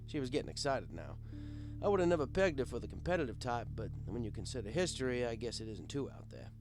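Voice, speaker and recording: A man's voice: medium pitch, sing-song, fast, darkish tone. A man narrating a first-person story as a bartender, conversational and wry. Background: guitar music.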